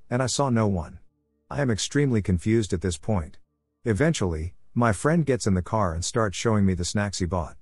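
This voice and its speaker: A man narrating first-person scary stories with dry, wry asides, soft music behind him. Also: on the low side, no room sound.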